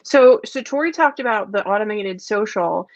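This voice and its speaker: A woman's voice: mid-range voice, animated, normal speed, no echo. A woman hosting a webinar in casual, guiding talk. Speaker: webinar host. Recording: dry, slightly dull online audio.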